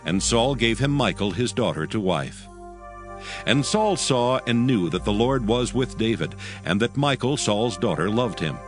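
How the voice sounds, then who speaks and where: A man giving a solemn, measured dramatic narration of scripture. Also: mid-range voice, animated. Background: music.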